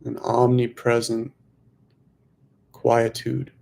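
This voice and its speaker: Monotone man guiding a meditation, slightly creaky. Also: mid-range voice. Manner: slow, hushed, long pauses between phrases. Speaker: meditation teacher. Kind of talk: guided meditation.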